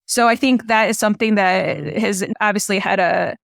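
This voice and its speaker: Smooth-voiced chatty woman, native Spanish speaker. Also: medium pitch, normal speed, no echo, studio quality. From Illinois, USA. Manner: chatty, frequent "you know" and "like" fillers. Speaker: guest filmmaker. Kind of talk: casual conversational storytelling.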